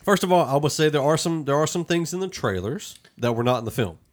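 A man's voice: fairly high pitch, lively intonation, quick pace. A man hosting a podcast in a casual, rambling, informal group chat about a film. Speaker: podcast host.

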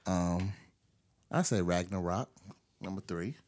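A man on a podcast panel talking casually about films, piecing his thoughts together in a halting way. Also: deep voice, darkish tone. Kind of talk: casual film review chat.

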